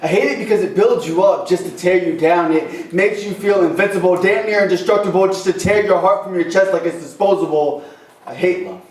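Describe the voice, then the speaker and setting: A man performing slam poetry at an open mic, impassioned and rhythmic, with dramatic pauses and rhymes. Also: high-pitched. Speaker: slam poet. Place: open mic venue with a small responsive audience. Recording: echoey live room, distant microphone.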